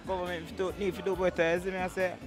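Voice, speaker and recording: A man with a Jamaican accent answers on a city street in a high voice. He stumbles and repeats words and tacks on little tag questions as he goes. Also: level tone, racing, over music. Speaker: street interviewee. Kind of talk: casual street chat.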